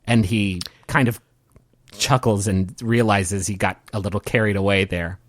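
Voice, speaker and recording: A man's voice: on the low side, steady tone, normal speed. A man narrating an improvised tabletop game as game master, in casual descriptive asides.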